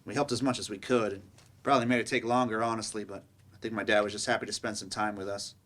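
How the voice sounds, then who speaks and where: An actor plays a young working-class man about to become a father. His delivery is plain, earnest and unassuming, at an average male pitch, as in a staged monologue. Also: natural voice. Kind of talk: staged play reading, monologue.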